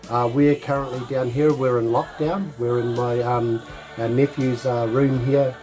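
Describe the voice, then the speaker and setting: Casual New man, mid-pitched and easygoing, hosting a home video. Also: measured pace, soft background music. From New Zealand. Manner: relaxed, rambling, mixes in Māori words. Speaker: co-host of an online song challenge. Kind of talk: casual introduction to camera. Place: small bedroom at home.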